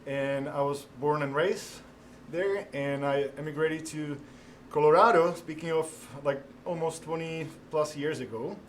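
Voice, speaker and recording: A man's voice: a little high, slight background noise. A middle-aged man from the Czech Republic with a Czech accent, a restaurant owner talking casually in an interview with many fillers. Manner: halting, many fillers, laughs easily.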